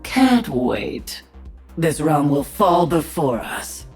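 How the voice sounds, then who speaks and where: A savage alien swarm queen, deep-voiced and very slow. She is menacing and confident, a game character with faint music behind her. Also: big pitch swings, a little mellow, exaggerated voice. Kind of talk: video game hero voice lines.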